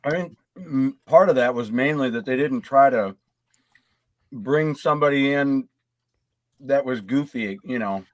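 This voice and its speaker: A man's voice: mid-range voice, unhurried, long pauses, no room sound. A man co-hosting a podcast in casual, chatty conversation. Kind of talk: casual group chat.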